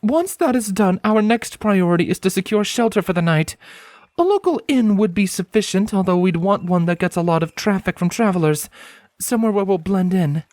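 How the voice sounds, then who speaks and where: A woman playing a wary ex-soldier pony, speaking in a formal, commanding, measured way in a dramatic story reading. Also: medium pitch, expressive, mellow, no room sound, lightly acted. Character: stern, wary female pony, ex-soldier fugitive. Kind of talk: dramatic audiobook acting.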